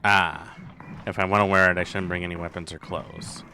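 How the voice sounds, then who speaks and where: A man's voice: sits low, flat delivery, moderate pace, pushed and bright, slight background noise. A man doing casual live gameplay commentary as a streamer, muttering to himself and repeating phrases when flustered. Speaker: game streamer. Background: game music.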